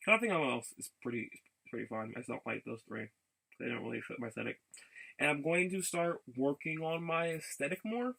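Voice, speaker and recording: A man giving a casual, rambling vlog update in a gravelly voice, haltingly and often repeating his phrases. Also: on the high side, expressive, sharp tone, poor audio. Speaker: video blogger.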